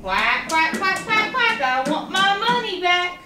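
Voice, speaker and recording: A woman voicing a small, stubborn male duck who quacks loudly in a singsong chant. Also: very high voice, lively intonation, slow, far from the mic, background noise, voice pushed up, exaggerated voice. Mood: singsong demanding. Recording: echoey room, off mic.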